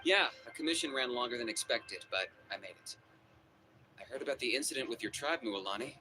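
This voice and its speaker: A calm young warrior from a video game. He speaks in a level-headed way at a middling pitch, with clear noise behind the voice. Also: fairly quick. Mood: calm, concerned. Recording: clearly noisy game stream capture.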